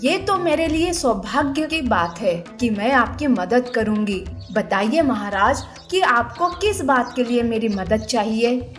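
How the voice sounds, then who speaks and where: Motherly fable camel, voiced by a woman: high pitch, slow pace, polite and earnest. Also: lively intonation, soft background music. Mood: respectful. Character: kind, motherly female camel in an animal fable.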